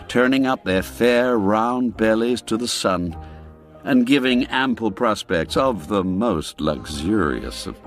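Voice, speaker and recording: A man reading a classic tale aloud as a scripted narration, slow and storytelling, letting phrase endings fall away. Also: lowish voice. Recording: faint music behind.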